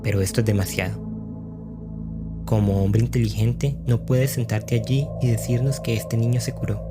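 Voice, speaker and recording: A man's voice: medium pitch, steady tone, normal speed, over loud music, close to an everyday voice. A man voicing a blunt, explosive skeptic in an audiobook, articulate and incredulous. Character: blunt, explosive male skeptic interrupting a storyteller.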